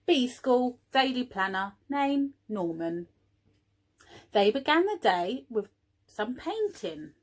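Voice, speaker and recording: A woman's voice: on the high side, very animated, takes it slowly. A woman, an early years teaching assistant at home, encouraging young children and asking them questions as she reads a story.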